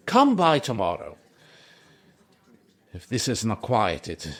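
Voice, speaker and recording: An actor playing a troubled, kindly shipowner in a period audio drama, speaking earnestly in halting, emphatic phrases. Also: male voice, low-pitched, vocal fry, fairly bright tone, full character voice. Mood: weary, grave.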